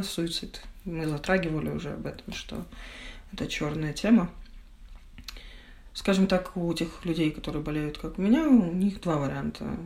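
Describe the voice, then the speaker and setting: A young woman giving a candid personal account as a podcast guest, speaking in halting, reflective phrases. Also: deep voice, vocal fry, a little mellow.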